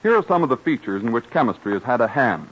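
A man's voice: medium pitch, big pitch swings, fast talker, husky, muffled recording. A man reading a scripted sponsor message as a 1930s radio announcer, formal and polished, on a vintage recording.

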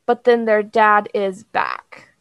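A woman's voice: mid-range voice, huge pitch swings, slow, vocal fry, smooth, no room sound, lo-fi sound. A woman asking casual clarifying questions as a note taker.

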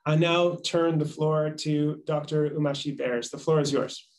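A man in his thirties moderating a formal panel over a video call, speaking in a measured, polite and halting way. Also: fairly high pitch, fairly even intonation, darkish tone. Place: small office, indoors. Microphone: video call microphone.

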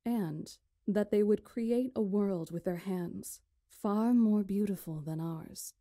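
A woman playing a stately magician in a visual novel, recounting history in a measured, formal, solemn way. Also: mid-range voice, dark tone, no room sound, clean studio sound. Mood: grave, formal. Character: stately female magician elder recounting ancient history to lords. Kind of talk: visual novel character acting, storytelling.

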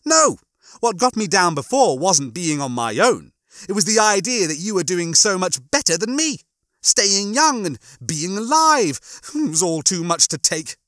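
A man's voice: high voice, big pitch swings, a bit bright, no echo, studio quality, pitched above the performer's own voice, exaggerated voice. A man voicing a smug, pompous, gloating hologram bunkmate in a theatrical, sneering way for a story reading. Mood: gleeful, pompous. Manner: theatrical, sneering, mock-cheerful, drawn-out words.

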